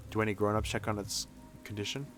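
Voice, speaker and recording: A man voicing a weary, wary young man in a game, speaking low and subdued as he questions children. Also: fairly even intonation, fast talker, a bit bright, soft background music, lightly acted.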